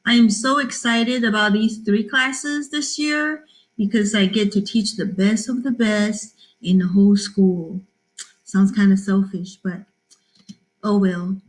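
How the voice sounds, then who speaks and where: A middle-aged woman from Taiwan, a math teacher, giving a cheerful class introduction with frequent ums and pauses. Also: mid-range voice, rough recording. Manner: cheerful, with many fillers and pauses.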